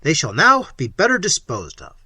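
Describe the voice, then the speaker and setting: A man voicing a tyrannical middle-aged prince, loud and commanding, with a husky voice. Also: a little high, huge pitch swings, moderate pace, no echo, clean studio sound, exaggerated voice. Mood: domineering.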